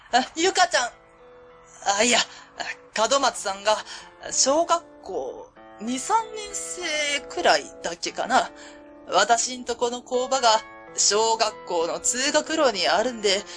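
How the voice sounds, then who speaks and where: Casting: actress for warm middle-aged female factory boss, higher-pitched, courteous and fond, radio drama. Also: big pitch swings, takes it slowly, soft background music, exaggerated voice. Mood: fond, delighted. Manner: polite, hesitant openers, fond chuckles. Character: friendly middle-aged woman company president, warm and modest. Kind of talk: Japanese web radio drama acting.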